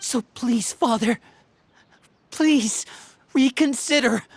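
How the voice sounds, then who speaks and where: A woman's voice: on the high side, slow, breathy edge, no echo, exaggerated voice. A woman playing an earnest, devoted youth in a video game, pleading sincerely.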